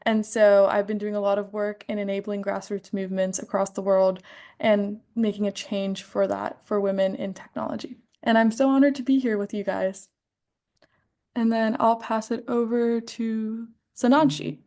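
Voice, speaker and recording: A confident woman in her twenties introducing herself in a polished, assured manner. Her voice has a middling pitch. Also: steady tone, moderate pace, warm tone. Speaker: panelist, tech professional. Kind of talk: panel introduction.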